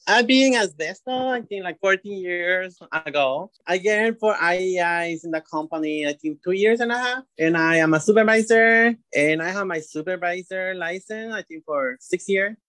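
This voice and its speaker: Woman supervisor, Salvadoran accent, halting answers, dry close sound. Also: fairly low pitch, a bit bright, no room sound. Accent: Salvadoran Spanish. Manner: hesitant, searching for words. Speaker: guest, abatement supervisor.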